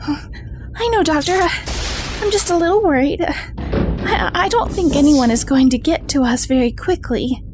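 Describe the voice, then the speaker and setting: Timid rabbit assistant, female actor: very high, slow, tearful, frightened, audio drama. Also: noisy background. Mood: scared, tearful.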